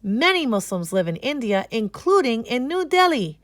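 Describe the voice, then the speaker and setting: A woman narrating a children's storybook aloud, expressive and explaining things for young listeners. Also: on the high side, moderate pace, dry recording, studio quality.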